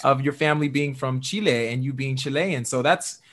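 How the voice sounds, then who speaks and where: A young man hosting an interview podcast, talking in an animated, upbeat way with many fillers. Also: mid-range voice, normal speed. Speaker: podcast host. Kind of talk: conversational interview.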